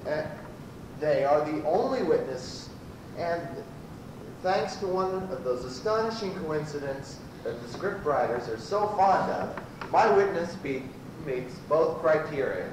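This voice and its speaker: A man's voice: on the high side, expressive, unhurried. A man playing a blustering prosecuting lawyer in an amateur old-time radio comedy. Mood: officious. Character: blustering male prosecuting lawyer in a sci-fi courtroom spoof. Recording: echoey distant room, noisy amateur tape.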